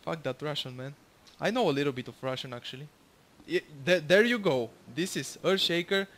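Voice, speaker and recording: A man's voice: a little high, lively intonation, brisk, fairly bright tone. Bulgarian man rambling through gameplay commentary. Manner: rambling, many fillers, swears freely. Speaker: gamer commentating his own match.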